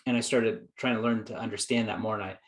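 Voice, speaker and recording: A man's voice: fairly low pitch, steady tone, quick pace. A man, a psychologist guest, telling his story in an earnest, reflective way, acting out his own past thoughts. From United States. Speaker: guest psychologist and productivity coach. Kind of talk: conversational storytelling.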